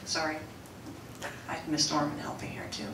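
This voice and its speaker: A woman with a loud coach's voice, reining it in while she presents to a school board, earnestly and with many restarts. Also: low voice, completely flat delivery, moderate pace, background noise. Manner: loud coach's voice, softened for the mic; earnest, with frequent restarts and fillers. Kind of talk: formal presentation at a board meeting. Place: school board meeting room. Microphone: room microphone. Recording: echoey room, mic at a distance.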